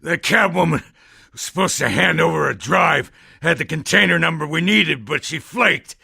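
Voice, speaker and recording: A man playing a cocky, defiant criminal thug in a video game, with a raspy, strained voice and brash street talk. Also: a little high, expressive, normal speed, bright, forceful tone, dry recording, studio quality, full character voice. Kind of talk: video game voice acting, interrogation lines.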